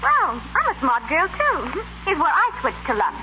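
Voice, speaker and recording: An actress playing a lively young woman in 1930s radio drama, with brisk, crisp delivery. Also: high voice, heavily acted. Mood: sassy. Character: poised, lively young society woman or sassy young wife. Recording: noisy, muffled 1930s radio recording.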